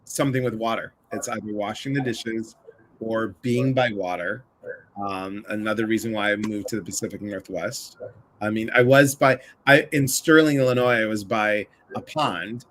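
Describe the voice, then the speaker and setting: A man's voice: fairly low pitch, normal speed, fairly bright tone, slight background noise, poor audio. A man from the Chicago area hosting a casual livestream conversation, chatty and full of asides. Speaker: livestream host.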